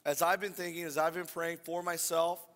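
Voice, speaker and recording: Male pastor, slightly scratchy hoarse voice, bright edge, informal sermon, repeated phrases, long hall reverb. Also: fairly high pitch, little pitch movement, moderate pace. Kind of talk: conversational sermon to a congregation. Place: church hall.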